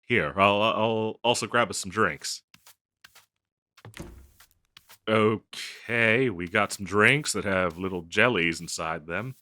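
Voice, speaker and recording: A man acts out a self-conscious young harpy boyfriend in an audio roleplay. His voice is low but bright and forward, recorded close and dry. He is tender and apologetic, stammering and hesitating as he talks to his partner. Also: measured pace, no echo. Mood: hesitant, giving in. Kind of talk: scripted audio roleplay, intimate one-sided dialogue.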